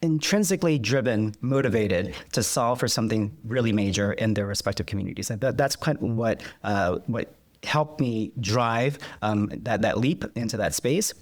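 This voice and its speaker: A man's voice: on the low side, moderate pace. A man whose was Vietnamese, a guest in a conversational interview, speaking reflectively with many fillers and restarts. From United States.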